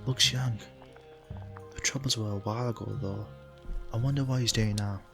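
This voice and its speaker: A man's voice: on the low side, steady tone, moderate pace, creaky, over music. A man playing a polite, earnest younger police detective in an audio drama, with a casual, questioning manner. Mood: polite, curious.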